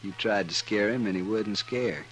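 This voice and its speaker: Actor as a low-voiced, menacing western hired gun. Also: male voice, moderate pace, a bit bright, natural voice, rough recording. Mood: cool menace. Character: menacing drifting hired killer from cattle country. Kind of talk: 1950s radio western acting. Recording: vintage 1950s radio recording, faint music.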